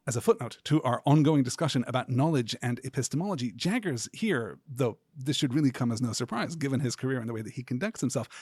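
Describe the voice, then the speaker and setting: A man delivers a scripted lecture on a podcast in an earnest, academic manner. His mid-pitched voice has a husky edge, and the sound is close and dry. He phrases things carefully and articulately. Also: a little mellow, no echo. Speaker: podcast host.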